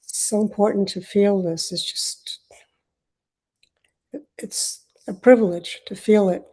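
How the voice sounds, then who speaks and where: Older lady on a video call, warm mellow voice, talks slow and thoughtful, grew up speaking swahili. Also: medium pitch, fairly even intonation, slightly rough. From Uganda. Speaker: host. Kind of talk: casual online video call chat.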